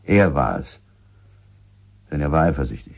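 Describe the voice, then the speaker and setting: A bitter, deep, husky villager. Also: male voice, big pitch swings, heavily acted. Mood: bitter accusing. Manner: coarse, defensive, halting. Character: bitter, crude French village husband under murder suspicion. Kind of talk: German radio drama acting.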